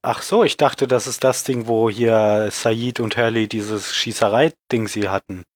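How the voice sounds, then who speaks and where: A man's voice: on the low side, steady tone, unhurried, no echo. A man with a cold co-hosting a casual series recap, retelling scenes plainly with dry humour. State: has a cold. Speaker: podcast co-host.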